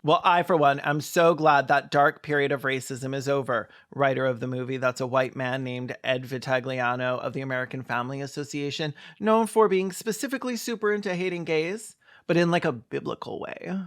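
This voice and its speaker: Reaction video host, campy, sarcastic, mid-range pitch, comedic commentary. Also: fairly quick, a bit bright.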